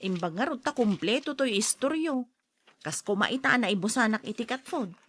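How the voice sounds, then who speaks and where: A woman's voice: fairly high pitch, lively intonation, normal speed, fairly bright tone. A woman with an Ilocano accent playing a chatty neighbour out shopping in a family drama, conversational and questioning. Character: chatty female neighbour shopping at a small store. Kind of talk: radio-style family drama acting.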